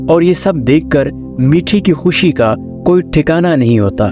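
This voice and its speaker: A man's voice: on the high side, lively intonation, unhurried. A man reading scripted narration of a children's story as the storyteller. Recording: music under the voice.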